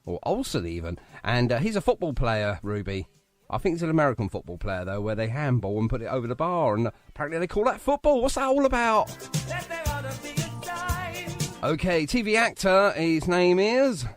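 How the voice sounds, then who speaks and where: Animated, higher-voiced male radio host. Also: moderate pace, no echo. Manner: jokey, teasing. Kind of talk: live radio banter, casual chat. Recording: music behind the voice. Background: music bed.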